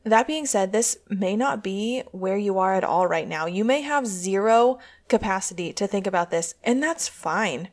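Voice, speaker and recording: A woman's voice: mid-range voice, lively intonation, moderate pace, hardly any pauses. A woman hosting a podcast as a coach, speaking in an earnest, encouraging way. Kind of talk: podcast intro and interview.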